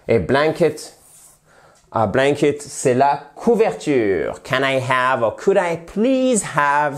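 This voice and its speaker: A man teaching English phrases in French, with a head cold, lively and friendly, slowing down to model the English. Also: mid-range voice. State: has a cold, slightly nasal voice. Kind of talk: bilingual language lesson at a whiteboard.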